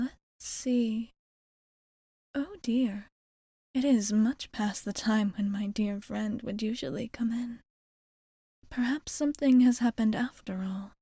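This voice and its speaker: A woman playing a courtly, doting vampire bartender in a soft-spoken, partly whispered ASMR roleplay, with formal, genteel phrasing. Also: a little high, husky, no room sound, studio quality, heavily acted. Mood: pensive, faintly worried. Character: courtly, doting adult female vampire bartender, long-lived.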